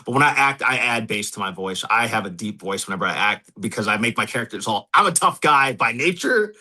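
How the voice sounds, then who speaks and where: A man's voice: lowish voice, animated, a little fast, pushed and bright. A man hosting a podcast, asking rambling questions and correcting himself in friendly casual chat. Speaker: podcast host.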